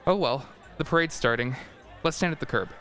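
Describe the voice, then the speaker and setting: A man performs an effusive store publicity man in a student radio play. He gushes with admiration in a mid-pitched voice. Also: a little fast, a bit bright, a faint crowd, close to an everyday voice. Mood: gushing. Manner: gushing.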